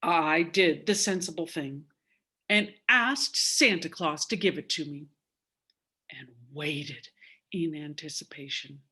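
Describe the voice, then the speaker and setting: Slow-paced woman in her sixties telling a story. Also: sits low, bright, forceful tone, slightly rough. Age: 60s. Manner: dramatic, deliberate delivery with drawn-out emphasis. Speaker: storyteller at a speaking club. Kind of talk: theatrical oral storytelling.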